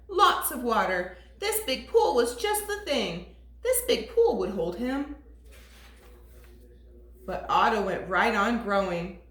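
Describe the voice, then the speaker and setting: A woman teacher reading a storybook aloud to toddlers in an expressive, sing-song way. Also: on the high side. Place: classroom. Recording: echoey room.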